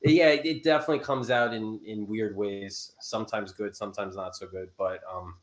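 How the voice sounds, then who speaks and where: A man from America, a writer and guest, talking casually and explaining his views in measured steps. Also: lowish voice, fairly even intonation, echoey room. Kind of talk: casual live-stream chat.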